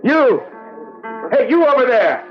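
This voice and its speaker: An actor playing a sullen, defiant ex-football player and henpecked husband with coarse, blunt speech, in 1970s radio drama style. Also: male voice, extremely high pitch, sing-song, slow, exaggerated voice. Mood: belligerent, shouting. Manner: blunt, coarse, ungrammatical talk. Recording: muffled vintage radio recording, faint music.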